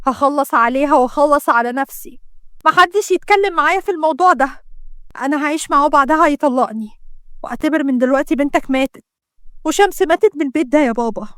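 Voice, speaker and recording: A woman's voice: sits high, fast talker, dry recording, studio quality, lightly acted. A woman voicing a desperate, defiant young woman, agitated and bitter, with an Egyptian accent. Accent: Egyptian Arabic.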